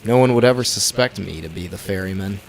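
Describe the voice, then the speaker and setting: An actor playing a young, slyly innocent speakeasy owner in a 1920s old-time radio drama. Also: male voice, on the low side, fast talker, a little hiss or hum, close to an everyday voice, rough recording. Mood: feigned innocence.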